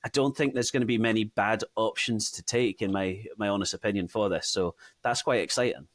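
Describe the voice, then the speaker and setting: A man's voice: fairly low pitch, a little fast, no echo. A man with a half English, half Scottish accent, chatting casually and conversationally as host of a gaming podcast.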